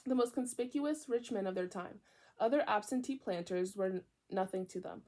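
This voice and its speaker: A young woman from Virginia reading a book aloud in a casual way, often drifting into chatty side remarks, with a high and often loud voice. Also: moderate pace. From Virginia, USA. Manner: chatty, digressive, mixes reading with asides. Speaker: book reader. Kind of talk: casual read-aloud with tangents.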